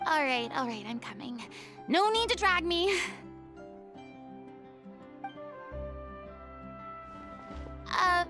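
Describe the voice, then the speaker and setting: A woman's voice: very high voice, heavily acted. A woman playing a proud but sheepish young former star performer in a video game, with a theatrical, polite manner. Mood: flustered.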